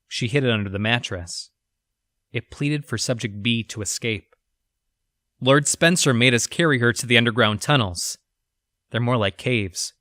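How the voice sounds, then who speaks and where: Male actor as haunted researcher, audio drama journal, medium pitch, dry sound, somber, confessional, halting delivery. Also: bright, forceful tone, no echo, natural voice. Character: troubled, guilt-ridden male researcher reading his journal.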